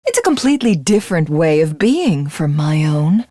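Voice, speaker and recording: A woman voicing a proud, cold warrior commander in a video game, speaking in a formal, commanding and measured way. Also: deep voice, big pitch swings, mellow, no room sound, clean studio sound. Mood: solemn, measured. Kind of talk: video game character voice acting.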